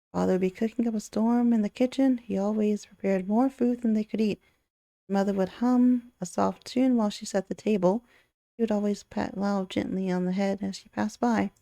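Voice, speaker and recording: A woman's voice: mid-range voice, gravelly, warm tone, dry recording, clean studio sound. A woman hosting a let's play, reading a visual novel aloud and reacting casually. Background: faint music.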